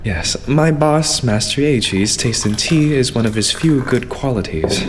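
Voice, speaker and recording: A man playing a young idol butler in an anime-style fan dub, formal and courteous with a quietly condescending edge. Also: medium pitch, lively intonation, very noisy, lightly acted. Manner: formal, courteous, measured, servile phrasing.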